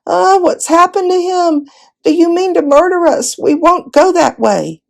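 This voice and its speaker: A woman's voice: very high-pitched, expressive, measured pace, creaky, pitched above the performer's own voice, exaggerated voice. A woman voicing a panicked soldier in a storybook, wailing and protesting in fear.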